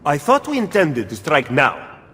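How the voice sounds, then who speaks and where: A man's voice: on the high side, wildly swooping pitch, measured pace, sharp tone, husky, big-room sound, heavily acted. A man playing a shrewd, skeptical Renaissance political ally in a video game. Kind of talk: video game cutscene acting.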